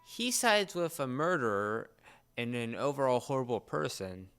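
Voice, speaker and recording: A young man co-hosting a podcast in casual chat, often halting as he searches for words. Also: medium pitch, sing-song, fairly bright tone, clear, resonant tone. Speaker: podcast co-host. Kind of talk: casual chat about entertainment.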